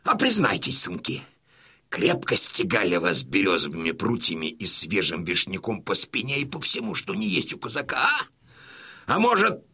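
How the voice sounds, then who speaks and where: An actor playing a boisterous, domineering old Cossack colonel and father in a Russian radio drama, with a rough, commanding, folksy delivery. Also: lowish voice, huge pitch swings, normal speed, pushed and bright, heavily acted. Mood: teasing. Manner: commanding, hearty, folksy, declamatory.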